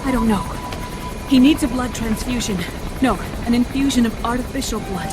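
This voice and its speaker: A woman's voice: on the high side, normal speed, lightly acted, low-quality recording. An actress playing a guilt-ridden female scientist and medic in a video game cutscene, explaining earnestly and urgently. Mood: earnest, remorseful. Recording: game cutscene over loud orchestral score. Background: loud music.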